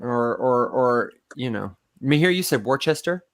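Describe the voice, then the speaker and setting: A man with a nasal, reedy voice and a slight Michigan accent, co-hosting a casual chat and telling animated stories with frequent laughter. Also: mid-range voice, normal speed, no room sound, clean studio sound. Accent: Michigan, mostly neutral American. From Las Vegas, raised in Michigan. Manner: animated storyteller, laughs often. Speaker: co-host.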